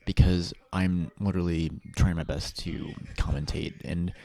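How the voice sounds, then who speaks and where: A man's voice: low voice, little pitch movement, moderate pace, gravelly, mellow. A man giving sarcastic reaction commentary over a livestream, teasing and mocking the people in it. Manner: sarcastic, teasing, chanting mock noises.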